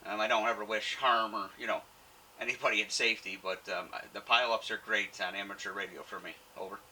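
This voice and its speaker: A man's voice: on the low side, steady tone, fast, sharp tone, a little hiss or hum, low-quality recording. A man talking informally as an amateur radio operator, friendly and using radio jargon in a casual on-air chat. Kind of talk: casual ham radio contact.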